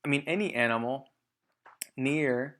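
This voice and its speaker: A man's voice: medium pitch, normal speed, a bit bright. A man with a mid-range voice reads a children's story aloud. He narrates as a storybook reader and explains things plainly to those listening.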